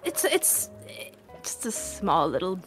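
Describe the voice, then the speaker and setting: Woman playing slow-talking, brazen adventurer Kalei. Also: mid-range voice, huge pitch swings, soft background music. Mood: casual, placating. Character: nonbinary adventurer Kalei, brazen, theatrical troublemaker making toasts.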